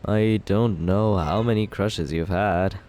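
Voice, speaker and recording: A man playing a deep-voiced cocky young villain. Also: slow, a little mellow, slight background noise. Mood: teasing. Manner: teasing, self-justifying, laid-back. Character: cocky young male villain courting the listener. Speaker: ASMR roleplay performer. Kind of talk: ASMR roleplay.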